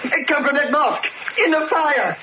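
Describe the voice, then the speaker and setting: An actor playing a deferential working-class ship's steward in an old radio drama, colloquial and servile. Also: male voice, extremely high pitch, a little slow. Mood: alarmed. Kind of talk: 1930s radio drama acting. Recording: muffled, noisy old radio recording.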